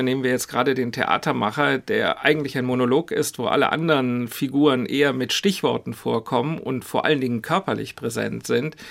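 Halting, gravelly-voiced man in interview. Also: mid-range voice, fairly bright tone. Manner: halting, reflective, searching for words. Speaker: radio drama director being interviewed. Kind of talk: thoughtful interview talk.

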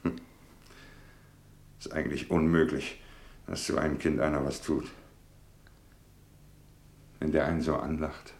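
An actor playing an anxious, remorseful middle-aged husband and father in a German radio play, halting and emotional. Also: a bass voice, flat delivery, vocal fry, darkish tone, roomy sound, exaggerated voice. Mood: brooding, remorseful.

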